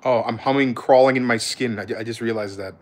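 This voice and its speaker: A man's voice: medium pitch, fairly even intonation, fast talker. A man with an American accent chatting casually as a streamer, answering questions in a blunt, slangy, conversational way. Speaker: streamer, content creator. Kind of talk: casual livestream chat, answering viewer questions.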